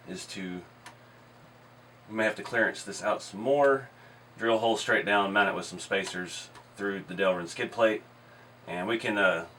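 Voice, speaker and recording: A hoarse man hosting a hobby channel, chatting casually while he works on a model build in his workshop. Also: on the low side, recorded from a distance, a little hiss or hum. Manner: relaxed, explains while working with his hands. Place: indoor garage workshop.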